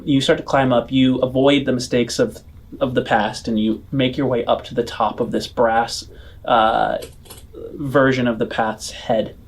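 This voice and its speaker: A man acting as game master, improvising scene descriptions in a halting way and rephrasing as he goes. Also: medium pitch, fairly even intonation. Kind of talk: improvised tabletop game narration.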